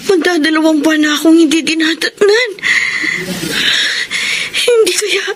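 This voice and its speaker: A woman's voice: very high voice, unhurried. An actress playing an anguished young engaged woman with a guilty secret, distraught and pleading in a melodramatic radio play. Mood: confused, panicked. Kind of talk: melodramatic Tagalog radio drama acting.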